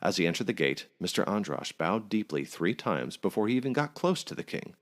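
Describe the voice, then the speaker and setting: A man's voice: sits low, very animated, brisk. A man narrating a scripted folk tale aloud as a podcast storyteller.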